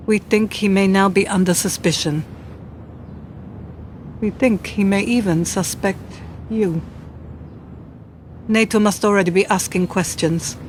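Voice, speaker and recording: Woman acting as world-weary Polish spy, female, cool, deliberate, formal, radio drama, clear background noise. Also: mid-range voice, natural voice.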